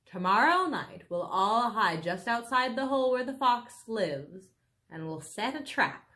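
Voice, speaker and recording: A woman's voice: a little high, big pitch swings, measured pace, bright, forceful tone, exaggerated voice. A woman voicing a crafty, skinny male farmer, a sly and self-satisfied storybook villain. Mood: scheming.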